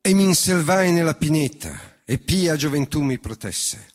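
A man's voice: a little high, expressive, unhurried, faint music behind. A man reciting autobiographical epic poetry in a formal, declamatory manner. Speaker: reciting voice in a verse reading. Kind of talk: dramatic recitation of 19th-century autobiographical epic verse.

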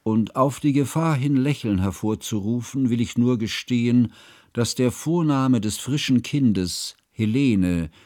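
An actor playing an ageing scholarly schoolmaster who narrates a radio drama in a measured, pedantic, ornate way. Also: male voice, mid-range voice, mellow, no echo, studio quality, natural voice. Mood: reflective, confessional. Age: older. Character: ageing scholarly schoolmaster, humane, ceremonious, reflective. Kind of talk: literary first-person radio drama narration.

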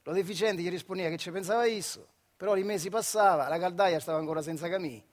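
An actor playing a proud, stubborn factory worker, telling his life in a colloquial central Italian dialect, animated and full of rhetorical questions. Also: high-pitched, fast talker. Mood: wry, animated. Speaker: actor-narrator. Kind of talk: theatrical storytelling monologue for radio.

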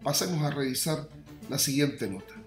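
A man's voice: medium pitch, animated, normal speed, roomy sound. A man with a Chilean accent presenting a scripted radio newscast. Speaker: news presenter. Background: music bed.

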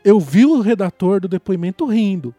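A man's voice: high voice, animated, moderate pace, mellow, no echo, faint music behind. A man playing an aggrieved, plaintive detainee under police questioning in a comedic audio drama. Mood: plaintive, indignant. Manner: plaintive, protesting.

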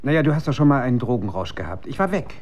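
A man's voice: mid-range voice, sing-song, very fast, a little mellow. An earnest, troubled male scientist. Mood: unsettled, explaining. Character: troubled research scientist, principled, sceptical, unsettled. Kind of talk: German radio drama acting.

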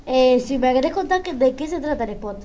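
A woman's voice: sits high, lively intonation, brisk, dark tone. A woman with a Rioplatense Argentine accent, casually asking questions as a radio host.

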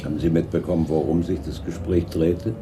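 An actor playing a dogged London police chief inspector, sardonic and insistent, in a radio crime play. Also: male voice, low voice, steady tone, a little fast, background noise, lightly acted. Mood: impatient politeness. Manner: sardonic, insistent, dry politeness.

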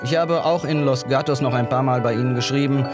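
Actor as wistful writer guy remembering lost love, medium pitch, radio play with music loud behind. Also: fast, close to an everyday voice. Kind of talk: German radio drama narration.

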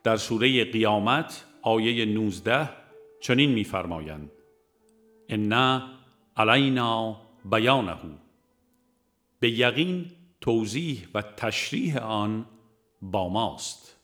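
A man reading a religious audiobook in a measured, formal and deliberate scripted narration. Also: fairly low pitch, lively intonation, fairly bright tone, soft background music. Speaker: audiobook reader.

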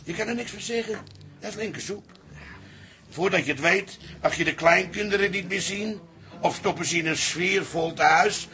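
A meddling old father-in-law in a radio play. His voice is high for a man and bright, and he talks in a folksy, needling, wry way. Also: normal speed. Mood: wry, advising. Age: older. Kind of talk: radio drama acting.

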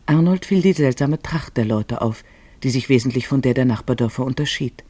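Guy narrating a radio play, soft dark husky voice, dry close sound. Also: medium pitch, a little fast, no echo.

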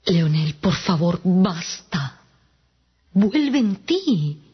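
A woman playing a patient, worried, loving wife in a radio drama, speaking tenderly and hesitantly in a husky, breathy voice. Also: fairly low pitch, sing-song, very slow, full character voice. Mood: pleading. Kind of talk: Spanish-language radio drama dialogue. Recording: dull lo-fi radio production. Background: faint music.

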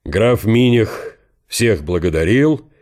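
A man's voice: fairly low pitch, lively intonation, slow pace, a little mellow. A man reading literary narration for an audio drama.

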